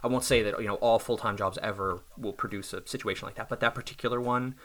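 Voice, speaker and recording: A man in his thirties, a self-employed software developer, talking casually and thoughtfully about his work, often restarting his sentences. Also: on the low side, steady tone, fast. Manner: thoughtful, reflective, with restarts and small laughs. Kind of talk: casual podcast conversation.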